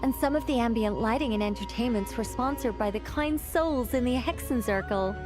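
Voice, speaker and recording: Woman as a high-voiced playful fairy guide. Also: moderate pace, close to an everyday voice. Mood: cheerful. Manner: theatrical, polite, playful. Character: playful, chatty, self-satisfied female fairy guide, cheerfully theatrical. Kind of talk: anime game voice acting. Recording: music behind the voice.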